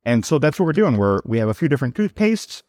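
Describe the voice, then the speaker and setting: A man's voice: medium pitch, sing-song, fast talker, darkish tone, no echo. Dry-voiced man telling his founder story. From born in Serbia, raised in New York. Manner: fluent storytelling with frequent word repeats. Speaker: company founder, guest. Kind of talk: podcast interview answers.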